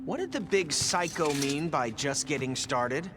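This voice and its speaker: A man's voice: on the high side, expressive, unhurried. A man voicing a brainy, techy teenage mutant turtle in a video game, analytical and inquisitive. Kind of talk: animated video game voice acting. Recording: music behind the voice.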